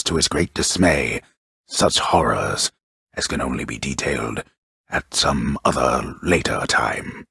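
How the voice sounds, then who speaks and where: A male actor playing a grave, pompous storyteller in a video game, narrating a mythic epic in an ornate, deliberate, dryly wry manner with a gravelly voice. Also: rumbling low, fairly bright tone, no room sound, clean studio sound, exaggerated voice. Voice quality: gravelly, husky. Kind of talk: theatrical scripted narration.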